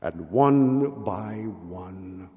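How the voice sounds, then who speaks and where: An older man giving a solemn, deliberate, theatrical reading of literature from a podium in a hall. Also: low-pitched, animated, dark tone. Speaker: reader at a public event. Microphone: gooseneck podium microphone. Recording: long hall reverb.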